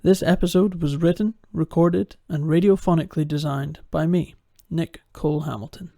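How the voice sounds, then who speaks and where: A man reading scripted closing credits as the show's producer, in a plain, measured announcing manner. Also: on the high side, steady tone, dark tone, dry recording, clean studio sound. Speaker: producer, writer and host.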